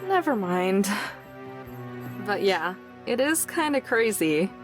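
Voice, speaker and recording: Visual novel heroine: young, mid-pitched, dry, deadpan, guarded, soft underneath, faint music behind. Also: female voice, takes it slowly. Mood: dry teasing. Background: game music.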